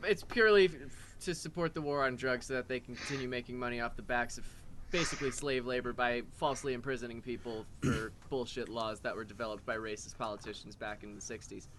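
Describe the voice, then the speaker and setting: A woman voicing an opinionated, emphatic cartoon character in an improvised comedy dub, speaking in halting bursts. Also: extremely low pitch. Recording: noisy home recording with clicks.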